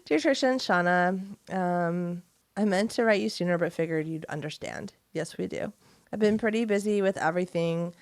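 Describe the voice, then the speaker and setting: A woman's voice: fairly low pitch, normal speed, no echo. A woman co-hosting a podcast in casual, chatty conversation, sometimes reading aloud. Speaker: podcast co-host. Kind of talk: casual chat, reading listener mail aloud.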